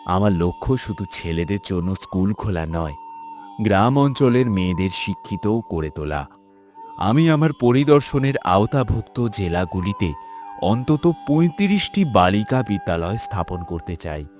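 A low-pitched, dignified male scholar acted by a male narrator speaks in formal, earnest cartoon dialogue. He is measured and resolute, with music underneath. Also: very animated, darkish tone.

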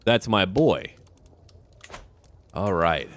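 A man's voice: sits low, sing-song, drawn-out words, a little hiss or hum. A man giving casual running commentary on a strategy game, talking to himself and to the game's characters. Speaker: gameplay video host. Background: music.

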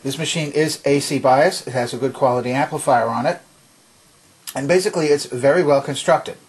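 A man presenting a hands-on demonstration in a methodical, explanatory way, with a slightly husky voice. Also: medium pitch, slight background noise. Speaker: presenter demonstrating vintage tape recorder. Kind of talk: instructional demonstration narration. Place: indoor home workbench.

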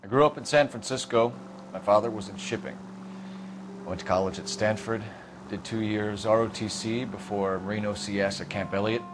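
A man's voice: lowish voice, fairly quick, close to an everyday voice. Man acting as a serious young detective, noisy background. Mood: guarded, formal. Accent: American. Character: intense, earnest young rookie police detective, war veteran. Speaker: police detective. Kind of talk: video game cutscene acting.

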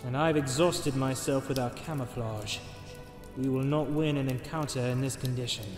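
A man's voice: mid-range voice, lightly acted. A man playing a smug, suave, theatrical vampire schemer in a visual novel. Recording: echoey long reverb, music behind.

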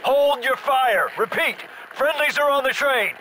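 Gruff soldier, high forceful urgent voice. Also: male voice, unhurried, slight background noise, exaggerated voice, rough recording. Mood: urgent, commanding. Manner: clipped, urgent, barked radio orders. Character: gruff, commanding male special forces soldier, middle-aged. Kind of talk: military shooter game voice acting.

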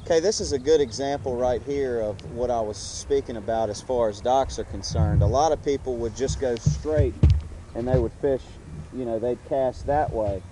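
Middle-aged guy on a boat explaining fishing, relaxed, camera mic, lots of wind and water noise. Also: medium pitch, normal speed. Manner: easygoing, explains step by step with restarts. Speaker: fishing instructor. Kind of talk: casual how-to talk while fishing. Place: outdoors on a boat on a lake.

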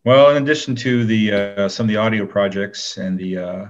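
A man with a low-key, laid-back manner, chatting casually as an interview guest. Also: fairly even intonation, normal speed, dull audio. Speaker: guest, actor.

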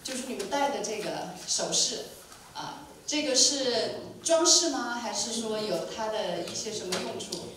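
Female moderator and interpreter, halting and full of ums, distant and echoey. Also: a little high, lively intonation, noisy background. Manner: hesitant, lots of ums while translating. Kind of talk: live interpreting at a talk. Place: lecture room with an audience. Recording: echoey room, distant mic.

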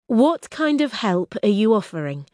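A woman voicing a cautious, sharp-minded young woman in an audiobook, with a guarded, questioning manner. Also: mid-range voice, sing-song, moderate pace, dry recording, clean studio sound, voice pushed up, natural voice. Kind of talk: audiobook character reading.

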